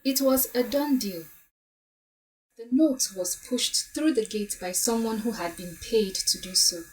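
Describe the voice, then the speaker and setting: A woman playing a shrewd, assured woman who deliberately lays out a case in a story drama. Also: on the high side, a little mellow, distant mic, natural voice, rough recording. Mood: assured, explanatory. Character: shrewd woman calmly exposing a plot.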